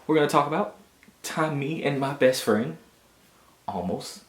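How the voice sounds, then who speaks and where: A man in his twenties casually telling a personal story in an animated, slangy way, often dropping in little check-in phrases. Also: fairly high pitch, unhurried. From Augusta, United States. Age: 20s. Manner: animated, slangy, punctuated with "you know what I'm saying". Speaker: storytelling vlogger. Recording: roomy echo with music behind. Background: background music.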